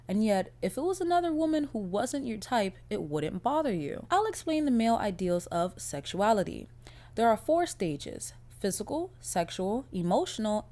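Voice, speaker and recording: A young woman hosting her own podcast, chatting casually in a blunt, profane, animated way, her voice a little raspy from a cold. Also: medium pitch. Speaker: podcast host. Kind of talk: casual solo podcast chat.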